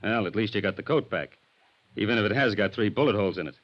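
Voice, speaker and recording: A tough American police sergeant from a 1950s radio serial. His voice sits on the low side, gruff and sardonic, always pressing. The old recording is dull and muffled. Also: lively intonation, fast. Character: tough, suspicious male New York police sergeant. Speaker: supporting actor in a radio serial. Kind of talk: 1950s radio acting, interrogation dialogue.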